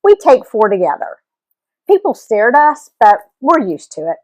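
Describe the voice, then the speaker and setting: A woman reading a story aloud as an expressive, animated narrator. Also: a little high, moderate pace. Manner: animated storytelling with acted dialogue.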